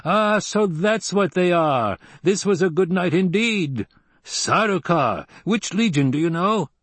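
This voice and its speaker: A man's voice: fairly high pitch, moderate pace, no room sound, pitched above the performer's own voice, natural voice. A man voicing a proud male desert warrior in an audiobook, blunt and matter-of-fact, eager about battle. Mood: gleeful, eager. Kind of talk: audiobook character dialogue.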